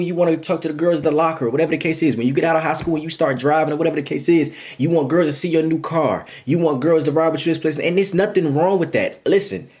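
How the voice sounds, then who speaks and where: A man's voice: mid-range voice, darkish tone. Young male vlogger, twenties, punchy and repetitive, lecturing viewers like a big brother. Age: 20s. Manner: emphatic and repetitive, talks straight to viewers. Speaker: vlogger giving life advice. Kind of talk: casual motivational monologue.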